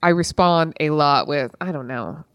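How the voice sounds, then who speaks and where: Blunt woman, slightly low voice. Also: normal speed, a bit bright, clear, resonant tone, dry recording. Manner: informal, blunt, sweary. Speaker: podcast co-host. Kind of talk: casual chat about books.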